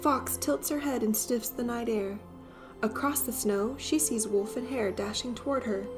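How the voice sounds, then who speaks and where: Higher-pitched woman reading a storybook. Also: moderate pace, music in the background. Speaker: storyteller reading a picture book aloud. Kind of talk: expressive children's storybook narration.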